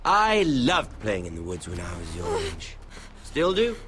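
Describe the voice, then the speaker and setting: A man's voice: lowish voice, huge pitch swings, measured pace, a bit bright, slight background noise, exaggerated voice. An actor playing a sadistic young nobleman villain in a video game drama, drawling with mock friendliness and theatrical menace. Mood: mock-friendly menace. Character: sadistic, mocking young nobleman villain who toys with victims.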